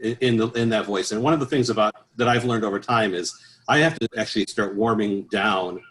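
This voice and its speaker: A man with a fairly low speaking voice talks in an easygoing, halting way. He restarts often and fills pauses with soft sounds while he explains things. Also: poor audio. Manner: easygoing, many restarts and "uh"s, chuckles at his own stories. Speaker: choral bass singer, guest. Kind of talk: relaxed interview answers.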